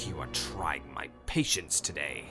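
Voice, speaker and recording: An actor playing a pompous, impatient and menacing high cleric villain in a dark fantasy drama. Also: male voice, slow pace, creaky, heavily acted. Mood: indignant. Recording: loud music behind the voice. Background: music.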